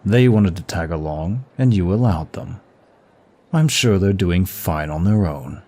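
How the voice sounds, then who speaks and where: A man's voice: sits low, lively intonation, unhurried, warm tone, full character voice. A man playing a smug, seductive demon of lust in an intimate ASMR roleplay, with suave, teasing and formal flirtatious phrasing. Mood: wry, bored.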